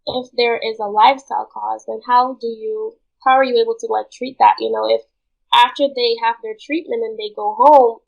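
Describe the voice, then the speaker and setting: Woman, interview guest from Guyana, fairly high voice, noticeable creak, informal explanatory talk. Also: low-quality recording. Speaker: interview guest, cancer researcher. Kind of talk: conversational explaining.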